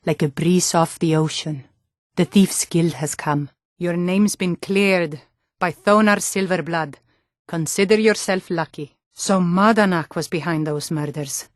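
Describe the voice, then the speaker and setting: A woman's voice: deep voice, dry recording, clean studio sound. A woman playing an earnest, hardy Nord townswoman and guard, delivering short, weighty lines for a fantasy video game. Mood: wary.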